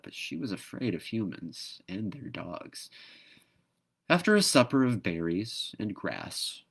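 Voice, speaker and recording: A man reading a children's book aloud as an expressive narrator. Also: low-pitched. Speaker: storybook reader.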